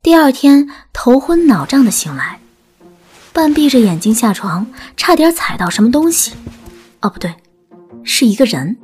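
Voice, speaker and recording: A woman's voice: on the high side, big pitch swings, moderate pace, darkish tone, breathy edge. A woman reading a scripted audiobook story as its narrator. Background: background music.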